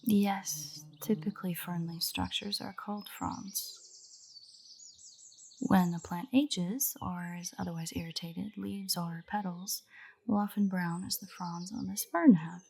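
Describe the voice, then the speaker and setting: A woman's voice: lowish voice, steady tone, a little slow, gravelly, dark tone, slight background noise. A woman playing an earnest, nerdy royal botanist in a fantasy audio roleplay, speaking in a precise, formal, lecturing way directly to the listener. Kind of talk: roleplay audio drama, scripted monologue to the listener. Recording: birdsong behind the voice. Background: birdsong, garden ambience.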